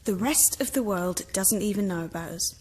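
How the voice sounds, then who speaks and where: A woman's voice: mid-range voice, normal speed, husky, lightly acted. A woman playing a grave rebel villager in an audio drama, earnest and explanatory. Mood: grave, informative.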